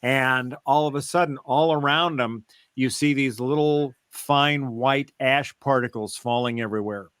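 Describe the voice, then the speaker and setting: A man co-hosting a podcast, explaining things step by step in a halting, casual way. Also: mid-range voice, a bit bright, clean tone, no echo, clean studio sound. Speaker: podcast co-host. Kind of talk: casual fan discussion, recounting and theorizing.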